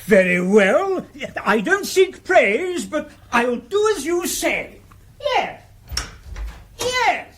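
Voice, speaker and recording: An actor playing a pompous, touchy prosecutor who writes poetry, in a radio drama, with a fussy manner. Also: male voice, very high voice, big pitch swings, drawn-out words, fairly bright tone, a little hiss or hum, full character voice. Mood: huffy, flattered. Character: pompous, touchy male prosecutor and amateur poet.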